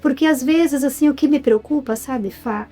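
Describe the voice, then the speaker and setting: A Brazilian woman speaks in a higher voice with a Brazilian Portuguese accent, talking about her work as an interview guest. She talks with feeling and leans on her key words. Soft music plays faintly behind her. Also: normal speed. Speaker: interview guest, writer and filmmaker. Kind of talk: casual interview chat.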